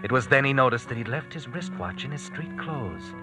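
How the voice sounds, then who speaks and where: Male actor for suspense narrator, grim and clipped, mid-pitched, classic radio play with clear music underneath. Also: lively intonation, brisk, natural voice. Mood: suspenseful, grim.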